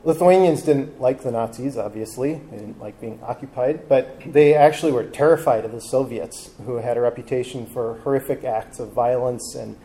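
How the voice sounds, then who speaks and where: A man speaks to a room full of listeners in an easygoing, slightly halting way, filling gaps with ums. His voice is warm and mellow, of middling pitch. A faint hum of the room sits behind him. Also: rough recording. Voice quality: relaxed, hesitant with frequent ums. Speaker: travel talk presenter. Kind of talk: casual live talk to an audience. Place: live talk before a seated audience with drinks.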